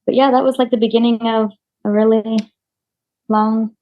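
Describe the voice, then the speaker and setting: A middle-aged woman, a mother and interview guest, telling events in a steady, casual way and filling in details. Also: fairly high pitch, normal speed, lo-fi sound. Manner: steady, fills in details gently. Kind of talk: casual storytelling.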